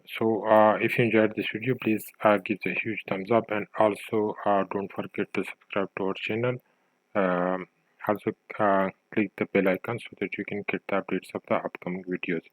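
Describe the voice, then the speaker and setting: A man narrating an informal software tutorial, thinking aloud with a hesitant delivery as he demonstrates. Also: fairly low pitch, flat delivery, moderate pace, no room sound, rough recording.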